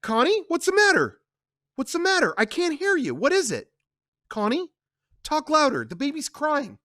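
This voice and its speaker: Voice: high voice, huge pitch swings, moderate pace, bright, forceful tone, no room sound, clean studio sound, pitched above the performer's own voice, full character voice. A storyteller voicing a flustered old mother who stammers in confusion over the phone.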